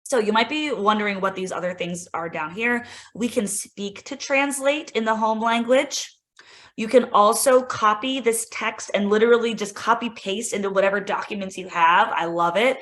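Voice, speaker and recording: A woman's voice: medium pitch, moderate pace, fairly bright tone. A woman instructional coach giving a casual, enthusiastic screen-share tutorial for teachers, peppering her talk with "like" and "right?". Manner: chatty, lots of "like" and "right?". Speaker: instructional coach presenting a tech demo.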